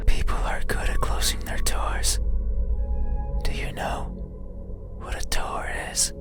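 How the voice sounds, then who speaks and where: An actor voicing a creepy, obsessive wandering creature of the night in a breathy, hushed whisper for a horror game monologue. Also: natural voice. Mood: eerie, coaxing. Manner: slow, hushed, deliberate, musing in questions. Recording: eerie horror music behind the voice.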